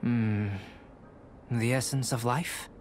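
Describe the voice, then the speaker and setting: Man playing young game alchemist, medium pitch, measured, formal, contemplative, detached, music behind. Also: fairly even intonation, natural voice. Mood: thoughtful. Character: calm, scholarly young male alchemist, courteous and detached. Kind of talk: video game voice acting.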